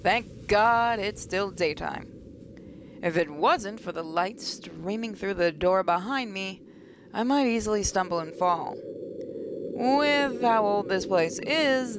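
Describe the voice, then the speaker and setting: A woman hosting a gaming video, reading a visual novel aloud dramatically with playful, expressive asides. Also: mid-range voice, background noise.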